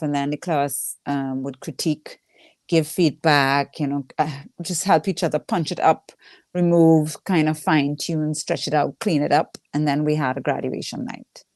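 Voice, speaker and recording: Low-voiced Trinidadian woman, candid and conversational. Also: a little slow, darkish tone, no room sound, clean studio sound. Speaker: stand-up comedian, interview guest. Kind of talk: casual conversational interview.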